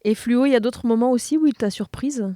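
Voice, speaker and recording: A woman's voice: a little high, fairly quick, dark tone, no echo, studio quality. A woman hosting a conversational podcast interview, asking questions and adding her own remarks. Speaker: podcast interviewer who works with assistance dogs.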